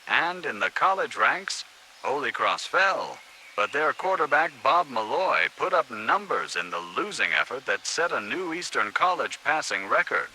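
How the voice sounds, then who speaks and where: Bright, animated American 1950s radio announcer man. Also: mid-range voice, normal speed, slight background noise, poor audio. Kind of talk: 1950s American radio broadcast, scripted news and sports.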